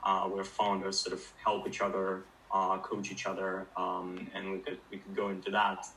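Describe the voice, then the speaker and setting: A young man from Ukraine, a startup founder, talking casually and thoughtfully with frequent pauses and fillers. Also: sits low, monotone, sharp tone, distant mic, slight background noise, poor audio. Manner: hesitant, many fillers and restarts, thoughtful. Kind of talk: casual interview conversation.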